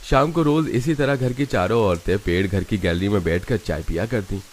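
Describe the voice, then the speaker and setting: A man's voice: fairly low pitch, normal speed, a little hiss or hum. A man narrating a family story in a scripted storybook style.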